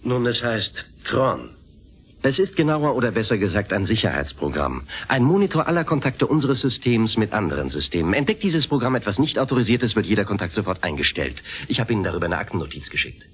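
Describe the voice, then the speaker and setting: Radio play techie: husky, lowish voice, earnest, precise and dryly defensive. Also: male voice, big pitch swings, a little fast, a bit bright, full character voice. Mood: earnest, explanatory. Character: earnest, defensive, precise male software engineer. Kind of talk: German radio play acting.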